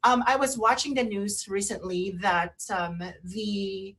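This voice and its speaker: Halting, chatty woman co-host. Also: mid-range voice, fairly even intonation, a bit bright, rough recording. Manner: halting, repeats herself. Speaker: radio show co-host. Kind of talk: casual chat.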